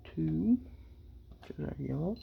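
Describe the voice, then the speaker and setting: A man's voice: fairly high pitch, animated, a little slow, creaky, phone-quality sound. A man giving a casual hands-on DIY tutorial, muttering short, offhand phrases to himself as he works. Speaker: DIY hobbyist demonstrating a repair. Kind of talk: casual hands-on tutorial, talking while working. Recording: very noisy room, loud background noise.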